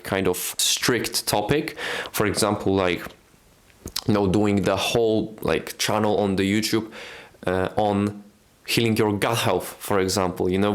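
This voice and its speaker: A young man from Poland with a Polish accent, talking to the camera in a casual vlog and filling his sentences with "like" and "you know". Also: on the low side. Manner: rambling, many fillers like "you know" and "like". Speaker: YouTube creator. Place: indoors at home, speaking to camera. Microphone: camera mic, in front of camera.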